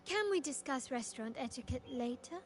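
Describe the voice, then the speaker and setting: Poised young noblewoman, highish voice. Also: animated, fairly quick, slight background noise. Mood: composed. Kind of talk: video game voice acting. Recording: game music behind the voice.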